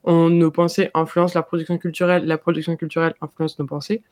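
A young adult in casual discussion, talking hesitantly with many pauses and filler sounds. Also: medium pitch, flat delivery, a little mellow, no room sound, studio quality.

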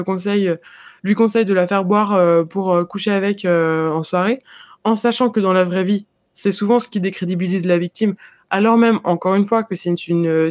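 A young adult in casual discussion, talking hesitantly with many pauses and filler sounds. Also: medium pitch, a little mellow, dry recording, clean studio sound.

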